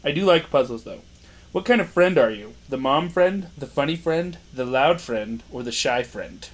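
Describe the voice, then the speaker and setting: A young man with a loud voice, co-hosting a casual podcast, joking, laughing and reading quiz questions aloud. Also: mid-range voice, lively intonation, normal speed. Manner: joking, playful, laughs often. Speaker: podcast co-host.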